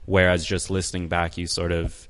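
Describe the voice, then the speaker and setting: A man speaking from the audience at a conference, calmly sharing a tip. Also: deep voice, normal speed, long reverb tail. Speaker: audience member, podcaster. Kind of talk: commenting from the floor. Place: conference room.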